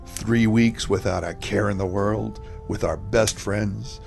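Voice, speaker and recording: A man playing a relaxed, chatty middle-aged cabin owner in an amateur radio drama. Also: lowish voice, slow, gravelly. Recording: music score behind.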